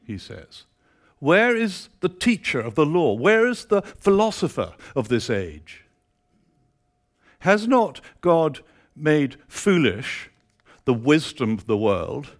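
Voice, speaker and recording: A man preaching to a church congregation, mixing dry teasing humour with steady scripture reading. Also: on the high side, slow pace. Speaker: guest preacher. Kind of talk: sermon. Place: church auditorium.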